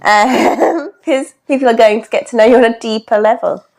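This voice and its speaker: A woman's voice: a little high, normal speed, a little mellow. A woman casually interviewing her co-host on a podcast, asking questions in a chatty style.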